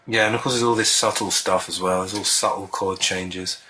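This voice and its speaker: A man interviewing a songwriter, chatty and encouraging, agreeing quickly and asking loose questions. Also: low voice, fairly even intonation, sharp tone, poor audio.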